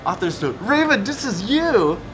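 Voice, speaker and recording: A man voicing a petulant, excitable teenage girl fan-fiction author, whiny, demanding and emphatic, in a comedic reading. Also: high voice, normal speed, background noise, pitched above the performer's own voice. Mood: excited. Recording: loud music behind the voice.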